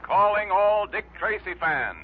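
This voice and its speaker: A man's voice: high-pitched, expressive, measured pace. A man announcing a show opening in the style of a 1940s radio call to listeners. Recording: very noisy, tinny vintage radio recording.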